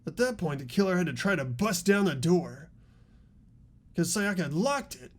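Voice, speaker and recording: A performer playing an earnest, argumentative high school student with animated questioning in a fan-voiced game. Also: normal speed. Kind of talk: fan voice acting of a video game script.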